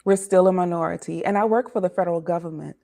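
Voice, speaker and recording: A woman in her forties from Washington, D.C., telling her life story as an interview guest in a measured, reflective way, often easing down softly at the end of a thought. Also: fairly low pitch, gravelly.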